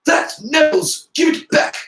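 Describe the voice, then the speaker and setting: A man's voice: sits high, big pitch swings, moderate pace, soft background music, pitched above the performer's own voice. A man playing an earnest, loyal schoolboy in a story, with a formal, emphatic delivery. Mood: indignant. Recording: roomy, echoing room sound.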